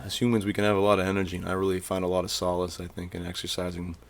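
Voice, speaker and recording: A man's voice: deep voice, completely flat delivery, rapid-fire, gravelly. A man in his twenties from Maine, hosting a podcast in loose, slangy casual chat. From Maine, USA. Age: 20s. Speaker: podcast host.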